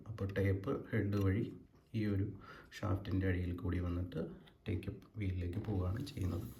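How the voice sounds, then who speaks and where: A man with a Kerala accent giving an unscripted hands-on demonstration, explaining in a halting way as he searches for words. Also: lowish voice, darkish tone. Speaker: presenter of a gadget demonstration. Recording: echoey room with background hiss.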